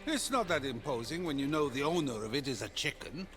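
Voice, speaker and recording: A man's voice: mid-range voice, expressive, brisk, music in the background, natural voice. An actor playing a vain, flippant, immature father god, theatrical and boastful, in a comic video game. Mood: smug.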